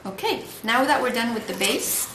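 Woman doing casual craft tutorial, bit of fry in her voice, noisy echoey room. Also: a little high, animated, moderate pace. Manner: encouraging, praising. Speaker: craft tutorial host and mother. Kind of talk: casual step-by-step craft instruction to camera and child. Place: indoors at a home dining table. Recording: roomy echo, clear background noise and clicks.

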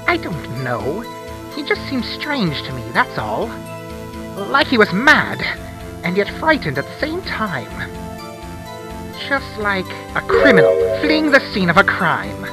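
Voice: medium pitch, wildly swooping pitch, slow, pauses often, music in the background, full character voice, poor audio. A performer with a woman's-sounding voice playing a shifty, wordy salesman giving theatrical testimony in a game fan dub. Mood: nervous, evasive.

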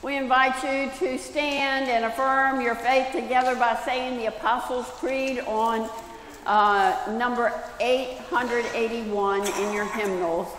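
An older woman, a pastor, reading prayers and liturgy in a solemn, measured manner, phrase by phrase, at a church lectern. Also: on the high side, steady tone, fairly bright tone, slight background noise. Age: older, 70s. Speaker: pastor leading worship. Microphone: gooseneck lectern microphone and clip-on. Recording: reverberant church hall.

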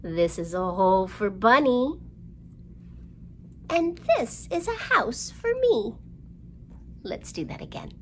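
A woman's voice: fairly high pitch, very animated, slow, long pauses, a little hiss or hum. A middle-aged woman hosting a children's storytime indoors, talking simply to young children with questions and exaggerated delivery. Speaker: children's librarian, storytime host. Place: indoor room, seen at a storytime backdrop.

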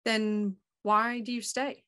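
A woman playing a cool, dryly skeptical police inspector in a radio mystery, asking measured, probing questions. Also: fairly high pitch, level tone, bright, forceful tone, smooth. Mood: businesslike. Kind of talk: radio mystery acting, live online table read.

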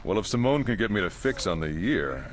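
An easygoing historian soldier in a game cutscene. He muses in a casual, slightly hesitant way, with a lowish voice and lively intonation. Faint ambience sits behind him. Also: a little fast, slight background noise. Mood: musing. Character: musing male soldier-historian, easygoing, bookish. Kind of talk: video game cutscene acting.

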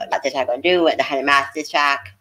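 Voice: medium pitch, steady tone, quick pace, sharp tone. A stream host in casual chat, talking bluntly and a bit dismissively.

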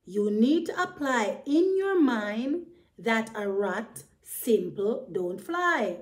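A woman's voice: on the high side, very animated, slow pace. A woman voicing a frank, caring bird in a children's storybook, admonishing and encouraging a friend with emphasis. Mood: scolding.

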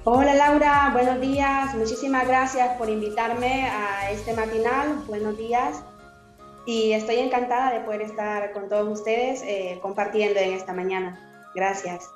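A woman's voice: fairly high pitch, fairly even intonation, normal speed. A woman in her thirties from Honduras, an author speaking as a guest over a video call, thoughtful and hesitant, with many fillers and restarts. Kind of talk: remote interview. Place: indoor room at home. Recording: roomy video call with faint music.

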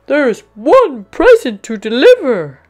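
A woman's voice: mid-range voice, animated, slow, dark tone, soft background music, pitched above the performer's own voice. A woman voicing a hearty, jolly old Santa Claus in a children's storybook reading. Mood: surprised. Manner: hearty, exclamatory.

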